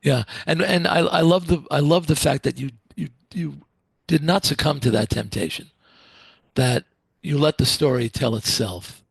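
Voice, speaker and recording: A man's voice: fairly high pitch, moderate pace, vocal fry, husky, dry recording. A man hosting a podcast interview, hesitant and repeating his words as he asks questions. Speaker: podcast host.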